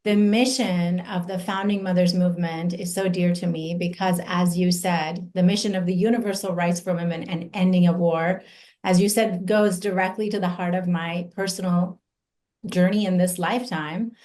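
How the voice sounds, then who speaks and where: A woman in her forties who grew up in Iran, giving an earnest personal testimony as an invited speaker, slow and deliberate with emphatic pauses. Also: on the low side, fairly even intonation, a little mellow.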